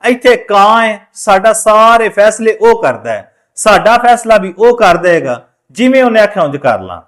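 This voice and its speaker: A man's voice: high voice, sing-song, normal speed, fairly bright tone. Man playing a stubborn, domineering Punjabi owl. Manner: insistent, commanding.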